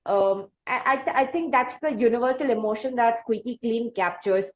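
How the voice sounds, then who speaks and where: A woman's voice: a little high, moderate pace. A woman hosting a conversational interview, speaking in an effusive, wordy and hesitant way. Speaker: podcast host interviewing a musician. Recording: muffled, echoey room sound.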